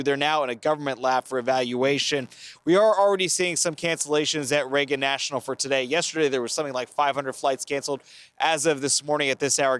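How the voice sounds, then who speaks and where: An American man with a slightly high voice delivers a live news report. He explains things carefully and in measured phrases. The sound is close and dry, with no room around it. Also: no room sound. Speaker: TV news correspondent. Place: outdoors by a river at night.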